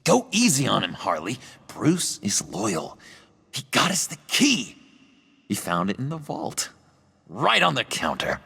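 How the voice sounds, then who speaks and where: An actor playing a manic, needy, unpredictable villain's sidekick in a video game, with a raspy voice and erratic delivery. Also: male voice, medium pitch, huge pitch swings, a little slow, pushed and bright, exaggerated voice. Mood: pleading. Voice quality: raspy, gravelly. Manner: erratic, wildly swooping delivery.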